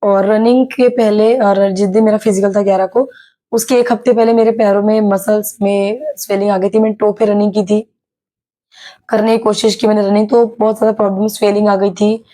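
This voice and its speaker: A young woman from Maharashtra with a slightly sore, hoarse throat, telling a casual vlog story with many hesitations. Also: mid-range voice, little pitch movement, fairly quick, warm tone. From Maharashtra, India. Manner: chatty, many hesitations and English words mixed in. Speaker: YouTuber sharing her exam experience.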